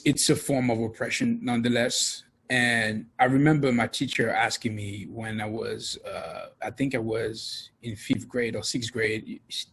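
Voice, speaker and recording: A man's voice: mid-range voice, gravelly, slightly rough. A middle-aged man, a guest talking about his life in a casual interview, hesitant with many fillers. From France.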